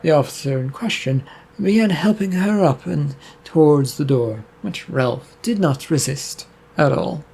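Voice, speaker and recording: A man reading a serial story aloud at a steady pace, with small restarts. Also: fairly high pitch, a little slow, creaky, breathy edge. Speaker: narrator.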